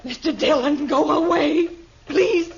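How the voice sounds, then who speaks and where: A woman's voice: sits high, slow pace, vocal fry, heavily acted. An actress in a 1950s American radio drama playing a terrified woman hostage, frantic and pleading. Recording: dull 1950s radio recording.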